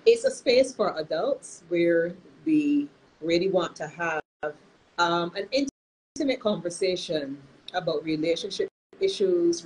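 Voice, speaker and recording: A woman hosting a live-streamed talk as a counselor, sounding nasal from illness and speaking in an earnest, measured way with frequent restarts. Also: low voice, slight background noise, poor audio. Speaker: host and counselor.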